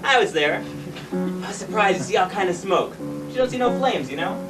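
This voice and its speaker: Older retired fireman, played by a man, folksy working-class talker, wistful, stage monologue, recorded at a distance over loud music. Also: high voice, expressive, moderate pace. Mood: reminiscing, proud. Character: retired fireman Joe, restless, wistful, plain-spoken old man. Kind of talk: theatrical monologue with sung lines.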